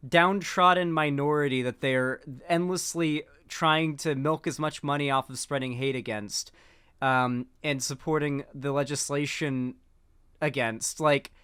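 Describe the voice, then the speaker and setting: A man's voice: fairly high pitch, fairly bright tone, clean tone, no room sound, studio quality. A man giving casual political commentary while stoned, rambling with lots of fillers and restarts.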